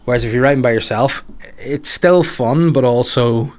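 A man's voice: mid-range voice, moderate pace. A young man, a comedian co-hosting a podcast, chatting casually with lots of restarts, laughter and swearing. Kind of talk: casual chat.

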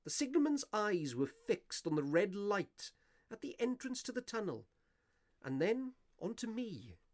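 Actor as theatrical, lively Victorian gentleman storyteller. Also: sits high, a little slow, no echo, studio quality. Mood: grave, atmospheric. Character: curious, courteous Victorian gentleman recounting an eerie encounter. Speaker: narrator of an audio drama. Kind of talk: dramatic radio play narration of a Victorian ghost story.

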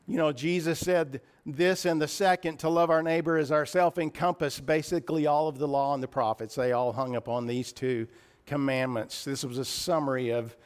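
A man with a raspy voice preaching a sermon in church, earnest and a little emotional, often clearing his throat. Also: a little high, fairly even intonation, moderate pace.

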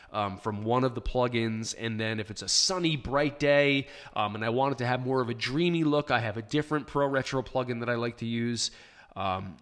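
A man hosting a live podcast, answering listener questions in a plainspoken, chatty way with plenty of ums. His voice is hoarse from shouting. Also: medium pitch. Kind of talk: casual live podcast chat. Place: small home studio.

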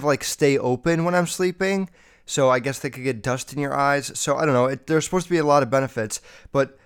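A man's voice: medium pitch, clean tone. A young man hosting a casual solo podcast, rambling and swearing a lot, sounding slightly nasal and a bit under the weather. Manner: rambling, heavy swearing, many fillers. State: feeling sick. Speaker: podcast host. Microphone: podcast microphone.

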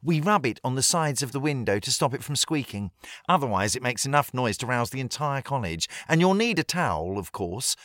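A man's voice: medium pitch, big pitch swings, fairly quick, a bit bright, no echo, clean studio sound. A man voicing an eager, bossy young female college student who confides a scheme, in an audiobook. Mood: gushing, conspiratorial. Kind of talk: acted audiobook dialogue.

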